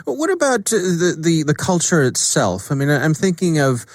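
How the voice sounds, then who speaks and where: A man's voice: a little high, big pitch swings, normal speed, no echo. Male podcast host, dry studio-close sound, conversational, feels his way through questions. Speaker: podcast interviewer.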